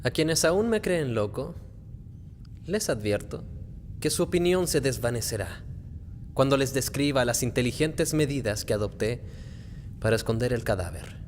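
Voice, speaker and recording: A man playing a nervous, obsessive madman confessing a murder in a theatrical, deliberate radio play monologue. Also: medium pitch, animated, slight background noise, lightly acted. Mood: defensive confiding. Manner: theatrical, deliberate, halting phrasing. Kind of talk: dramatic radio play monologue, gothic horror narration.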